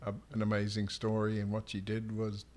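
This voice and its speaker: A man's voice: lowish voice, monotone, a little fast, darkish tone, a little hiss or hum. A man co-hosting a livestream as an author, chatting casually in a hesitant, easygoing conversational way.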